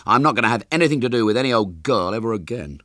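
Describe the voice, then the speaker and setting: A man's voice: medium pitch, lively intonation, very fast, fairly bright tone, no echo, clean studio sound, lightly acted. A man voicing a grumpy, stubborn schoolboy gang leader in a storybook, speaking sternly and emphatically.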